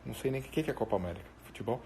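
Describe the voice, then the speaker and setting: A man's voice: medium pitch, expressive, racing, creaky, warm tone. A Brazilian man with a Brazilian Portuguese accent and Portuguese as his first language, chatting casually on a live stream and answering viewers. He rambles and hesitates with many fillers.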